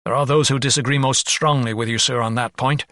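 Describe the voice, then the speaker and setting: A man's voice: mid-range voice, steady tone, a little fast, a bit bright, no room sound, clean studio sound, lightly acted. A man voicing an earnest, protective young doctor in an audiobook, urgent, easily agitated and formally polite. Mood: tense insistence.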